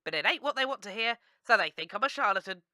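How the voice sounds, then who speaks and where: A woman's voice: mid-range voice, expressive, quick pace, bright, forceful tone, no echo, studio quality. A woman with a British accent playing a brazen, unapologetic fortune teller in a radio comedy. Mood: blunt, unapologetic. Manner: matter-of-fact, unapologetic. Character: brazen, blunt post-apocalyptic female fortune teller. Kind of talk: comic radio acting.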